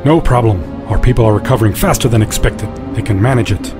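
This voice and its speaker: A man's voice: fairly low pitch, quick pace, over music, close to an everyday voice. A man playing an impatient, practical young fighter in an audio drama, blunt in the way he talks. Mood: businesslike.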